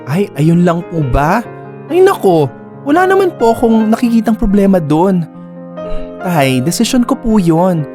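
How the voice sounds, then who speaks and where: A flamboyant Filipino sweetheart in a radio drama. The delivery is campy and swoops widely in pitch. The character is playful, clingy and stubbornly devoted. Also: male voice, sing-song, a little slow, over music. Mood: defensive, confused. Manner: campy, giggly, exclamatory. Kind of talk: Tagalog radio drama acting and narration.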